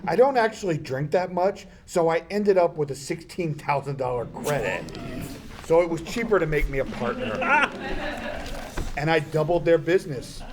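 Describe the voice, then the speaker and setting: An actor playing a slow-witted, smug bar owner in a sitcom table read, with a simple, ponderous delivery. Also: male voice, a little high, lively intonation, darkish tone, slight background noise, natural voice. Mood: matter-of-fact.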